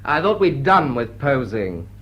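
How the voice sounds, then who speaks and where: A man's voice: medium pitch, big pitch swings, unhurried, husky, lo-fi sound. A man acting a suave, possessive aristocratic rake in classic stage drama, with a teasing, theatrical delivery. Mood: mocking.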